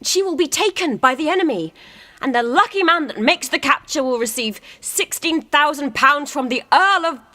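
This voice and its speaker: A woman playing a pragmatic, battle-wise military commander in a radio play, measured and blunt. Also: high voice, animated, pushed and bright. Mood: sober warning.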